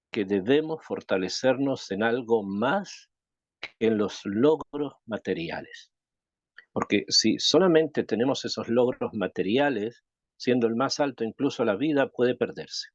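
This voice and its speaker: A man from Chile, a rabbi teaching a Torah class, reflective and thoughtful, asking rhetorical questions and pausing to think. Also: medium pitch, a little mellow, no room sound, dull audio. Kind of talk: Torah lesson.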